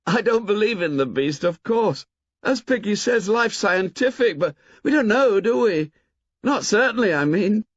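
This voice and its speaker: A man voicing an excitable schoolboy who rambles eagerly in breathless run-on sentences. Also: sits high, moderate pace, no room sound, voice pushed up. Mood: excited. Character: chatty excitable schoolboy, Maurice. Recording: dull lo-fi dry audiobook.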